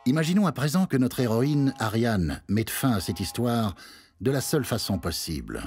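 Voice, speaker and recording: An actor playing a wry narrator of a darkly satirical radio fiction, in an ironic, theatrical scripted delivery. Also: male voice, medium pitch, very animated, moderate pace, a little mellow, soft background music.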